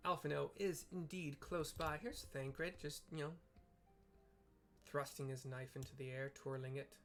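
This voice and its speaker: A man's voice: fairly high pitch, fairly even intonation, unhurried, fairly bright tone, soft background music. A man chatting casually through a game playthrough, describing scenes with rambling, jokey asides. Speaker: gameplay narrator.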